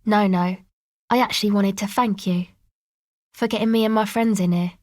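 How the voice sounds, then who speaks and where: A cool, guarded young woman from a video game, played by an actress, talks with casual, slangy understatement. Her voice sits at an average female pitch and is laid-back and dry in delivery. The recording is close and free of room sound. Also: steady tone, normal speed, darkish tone, dry recording, natural voice. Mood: awkward, grateful. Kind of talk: video game dialogue acting.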